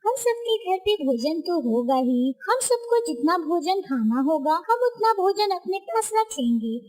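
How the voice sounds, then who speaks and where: Woman doing a helpful little girl bird, cartoon, really high and reassuring. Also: very animated, unhurried, darkish tone, heavily acted, low-quality recording. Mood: concerned, reassuring. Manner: reassuring, eager.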